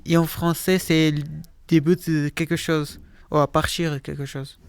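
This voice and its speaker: A student in his late teens, a native Portuguese speaker, talks about his own experience. He hesitates often and gropes for words. His voice is clean and smooth, on a flat pitch, and the recording is dry. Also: normal speed, no room sound. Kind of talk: personal testimony.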